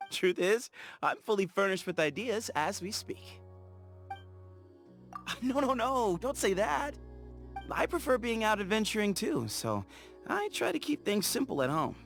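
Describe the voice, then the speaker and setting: Game character, relaxed, playful young adventurer; bouncy delivery, slightly raised pitch. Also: male voice, heavily acted. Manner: relaxed, chatty, teasing, laughs easily. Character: easygoing, playful young desert explorer man.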